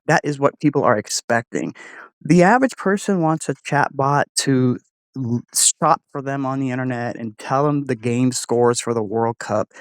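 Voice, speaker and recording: A man's voice: mid-range voice, dry recording. A man giving casual spoken commentary as he hosts a technology test. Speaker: host running an AI voice test.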